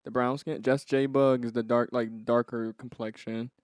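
A man's voice: mid-range voice, flat delivery, measured pace, no echo, studio quality. A man co-hosting a podcast, talking casually about pop culture. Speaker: podcast co-host. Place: podcast studio.

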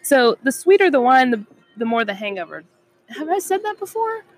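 A woman's voice: high voice, big pitch swings, moderate pace. A woman co-hosting a podcast, teasing and joking over wine on an outdoor hotel patio. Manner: playful, teasing, giggly. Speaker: podcast co-host. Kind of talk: casual wine-tasting chat.

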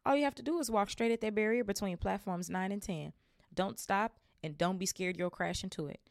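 A woman reading aloud and voicing a kind, plump mother who fusses over her children, her voice raised in pitch. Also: sing-song, brisk, dry recording, studio quality. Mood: reassuring. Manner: warm, fussing, reassuring.

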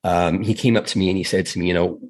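Man, from South Africa, very low voice, podcast guest, earnest chatty storytelling. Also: steady tone, fast. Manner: chatty and earnest, with frequent fillers. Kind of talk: casual storytelling in a podcast interview.

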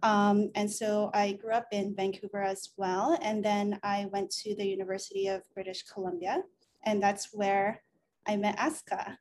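A woman in her thirties from the Philippines, introducing herself casually in a measured way. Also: mid-range voice, flat delivery, darkish tone, a little hiss or hum. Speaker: podcast co-host, journalist.

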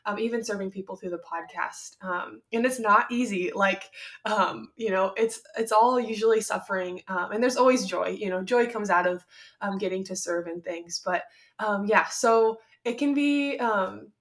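A woman's voice: mid-range voice, moderate pace, fairly bright tone. A young woman hosting a podcast, chatting casually and asking questions, with many fillers and affirmations. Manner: friendly, frequent "yeah" backchannels and fillers. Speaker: podcast host. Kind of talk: casual interview chat.

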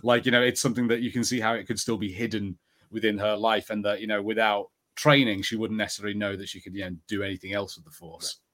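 A man co-hosting a podcast, explaining his thoughts in a measured, casual way. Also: lowish voice, flat delivery, fairly bright tone. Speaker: podcast co-host. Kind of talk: casual review chat.

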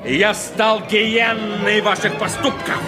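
Wild pirate captain out for revenge, slow chanting radio play delivery, loud music behind. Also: male voice, high-pitched, fairly even intonation. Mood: vengeful exultation. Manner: theatrical, incantatory, exultant. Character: wild vengeful pirate captain setting a city ablaze. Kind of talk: Soviet radio drama acting.

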